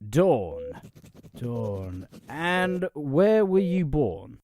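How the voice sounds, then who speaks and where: A man's voice: a little high, sing-song, very slow, darkish tone, full character voice. A man playing an affable, quirky wasteland observatory boss in an audio drama, talkative and apologetic in manner. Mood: casually inquisitive. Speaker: voice actor.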